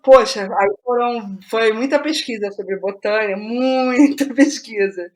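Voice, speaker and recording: Brazilian woman, higher-pitched, thinking aloud. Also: unhurried, a little mellow. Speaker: interview guest, playwright. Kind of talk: casual conversational interview about writing process.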